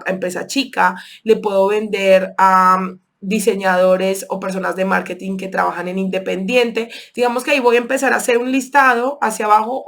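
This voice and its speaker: A woman from Colombia with a Colombian accent, teaching an online sales course and walking through examples step by step with frequent fillers. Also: fairly high pitch. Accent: Colombian Spanish. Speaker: sales instructor.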